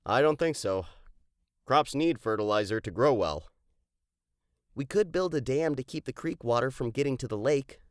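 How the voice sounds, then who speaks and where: Woman acting a low-voiced, animated kid explorer. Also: normal speed, no echo, clean studio sound. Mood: thoughtful. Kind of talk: dramatized children's storybook dialogue.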